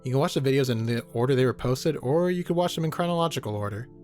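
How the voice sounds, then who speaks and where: A man's voice: mid-range voice, quick pace, a little mellow. A man giving comedic video essay commentary in a sarcastic, joking, casually profane way. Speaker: YouTube horror reviewer. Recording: music behind the voice. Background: background music.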